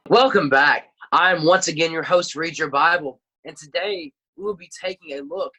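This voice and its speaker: A man's voice: a little high, normal speed, bright, forceful tone, slightly rough, faint music behind, poor audio. A man hosting a mock sports selection show in a presenter's style, handing over to correspondents. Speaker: host.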